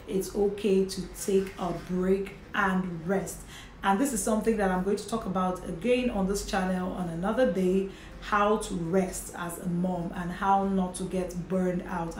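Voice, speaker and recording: A Nigerian woman in her thirties talks straight to camera from a home office in a chatty, earnest manner. Her voice sits at an ordinary pitch and echoes off the room, with a faint hiss underneath. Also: a little mellow. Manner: chatty and earnest, with light laughs. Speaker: vlogger, mother. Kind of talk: casual talk to camera. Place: indoor home office with bookshelf and curtains. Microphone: camera in front at desk distance.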